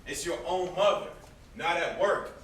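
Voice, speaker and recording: Man acting a bitter high-voiced young brother, echoey stage. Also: measured pace, fairly bright tone. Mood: sarcastic. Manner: sarcastic, confrontational. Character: bitter, sarcastic young brother nursing family grudges. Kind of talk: amateur stage play acting. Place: indoor theatre stage. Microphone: distant camera microphone. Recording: distant echoey stage recording, faint noise.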